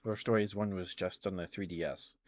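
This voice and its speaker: A man's voice: low voice, steady tone, a little fast, no room sound. A man streaming ASMR, chatting casually in a rambling, hesitant way into a close microphone. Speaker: live streamer. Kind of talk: ASMR, casual rambling chat to live viewers.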